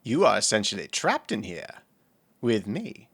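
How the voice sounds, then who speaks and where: Audio drama role: doting gentleman occultist and healer, genteel and playful, lively intonation, crisp bright tone. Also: fairly low pitch, unhurried, exaggerated voice. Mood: playful, pleased. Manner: genteel, playful, formal wording. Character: doting, nerdy, refined occult healer, male lover.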